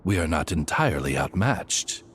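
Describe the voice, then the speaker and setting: A man voicing a loyal raven servant in a video game, formal, deferential and gentlemanly. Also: deep voice, very animated, moderate pace, slight background noise. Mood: reassuring. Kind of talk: video game character acting.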